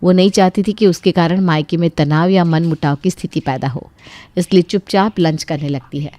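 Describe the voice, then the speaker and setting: A woman's voice: on the low side, normal speed, mellow, no echo. Woman narrating a story, close dry recording. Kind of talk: scripted Hindi bedtime story narration.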